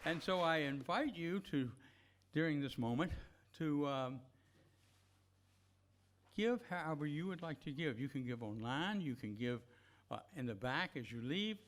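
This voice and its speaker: A man's voice: on the high side, animated, a little slow, long pauses. A man leading a church service, speaking informally to the congregation about giving. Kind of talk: informal offering talk to a congregation.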